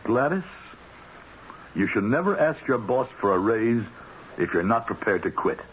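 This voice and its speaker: A man's voice: mid-range voice, big pitch swings, moderate pace, natural voice. A man playing a harried, money-worried husband in a radio drama, earnest and exasperated with his wife. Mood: defensive. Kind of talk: 1970s radio drama acting. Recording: dull old radio broadcast, faint hiss.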